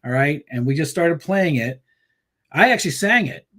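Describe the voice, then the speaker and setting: Rambling, animated man telling band stories. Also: fairly high pitch, moderate pace. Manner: rambling, many fillers and restarts; laughs easily, animated storytelling. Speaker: musician guest. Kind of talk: casual interview chat.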